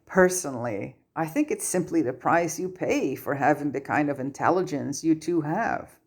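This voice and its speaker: A gentle, patient wizard schoolmaster in a storybook reading, voiced by a woman. He is a grown man who guides kindly and holds firm. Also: sits low, lively intonation, normal speed. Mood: gentle, sympathetic. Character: kindly, firm adult male wizard teacher and mentor.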